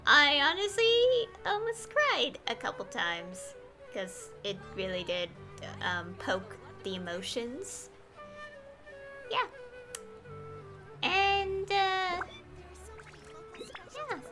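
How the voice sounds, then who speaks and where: Young woman streamer, high and lively, rambly casual commentary, game music behind. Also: very slow, pauses often. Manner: rambling, full of fillers and soft laughs.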